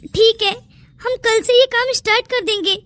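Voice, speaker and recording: A woman's voice: extremely high pitch, fairly even intonation, moderate pace, a bit bright, no echo. A woman voicing a poor, plaintive young village woman in an emotional cartoon moral story. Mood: plaintive, resigned. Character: poor, tearful but willing young village woman, Payal. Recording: music behind the dialogue.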